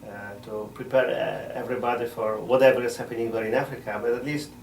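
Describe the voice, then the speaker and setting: A middle-aged man, a missionary priest answering interview questions in a measured way, often pausing to find words. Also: medium pitch, fairly even intonation, darkish tone, slight background noise. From Poland. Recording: roomy sound from a distant mic.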